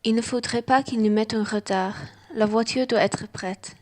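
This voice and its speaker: A woman playing a prim, brisk bourgeois lady traveller in an amateur radio play, proper and self-assured. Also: a little high, steady tone, darkish tone, lightly acted.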